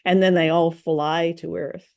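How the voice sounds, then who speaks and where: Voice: mid-range voice, level tone, unhurried, creaky, darkish tone, no room sound. A co-host in casual chat, sharing trivia in a chatty, playful, slightly tentative way.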